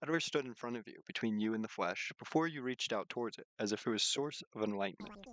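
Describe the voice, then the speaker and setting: Male game streamer, lower register, close dry sound, narrating on-screen prose with offhand live commentary. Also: fast, a bit bright, dry recording. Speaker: streamer reading a visual novel aloud. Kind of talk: narrated read-aloud with casual live commentary.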